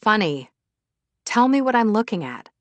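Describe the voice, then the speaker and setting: A woman voicing a brisk, dry-humored, stubborn young farm manager in an audiobook. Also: medium pitch, very animated, no echo, dull audio, pitched above the performer's own voice, natural voice. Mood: firm.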